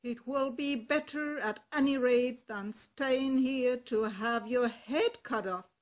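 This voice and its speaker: An older woman with a German accent voicing a kindly, bossy male donkey who talks loudly and heartily. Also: fairly high pitch, slow pace. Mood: hearty. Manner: loud, hearty invitations.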